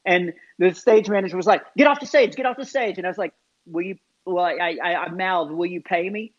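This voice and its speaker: A man, a comedian guest in an interview, telling self-deprecating stories in an animated, casual way with many fillers. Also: sits high, a little fast. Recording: dull lo-fi sound.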